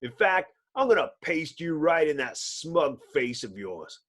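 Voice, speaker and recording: A performer voicing a gruff, hot-tempered man turning into a monster, blunt, sneering and belligerent, in a dramatic comic-book reading. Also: medium pitch, big pitch swings, measured pace, fairly bright tone.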